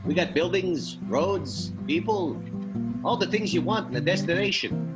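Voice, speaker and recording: A man playing a laid-back, folksy tourism pitchman in a comic mock radio advert, offhand and casual. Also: expressive, unhurried, rough recording. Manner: offhand, casual, hesitant salesmanship. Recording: loud guitar music under the voice.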